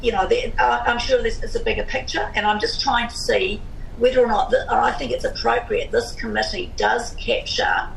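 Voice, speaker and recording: A woman councillor with a croaky voice, speaking hesitantly with many fillers in a committee meeting. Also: on the high side, fairly even intonation, normal speed, a bit bright. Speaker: ward councillor. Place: council chamber. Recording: roomy council chamber, noisy.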